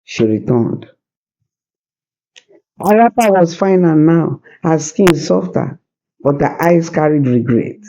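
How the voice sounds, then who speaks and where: A storyteller narrating a folktale in a slow, deliberate, suspenseful and dramatic way. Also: medium pitch, animated, dark tone, muffled recording. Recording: hissy background noise.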